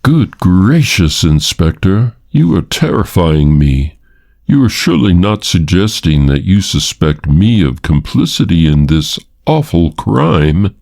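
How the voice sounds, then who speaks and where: A man's voice: low-pitched, sing-song, measured pace, creaky, darkish tone, voice pushed up, full character voice. A man reading an audiobook, voicing a proper married woman who answers questions in a formal, indignant way. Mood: alarmed. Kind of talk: audiobook character voice.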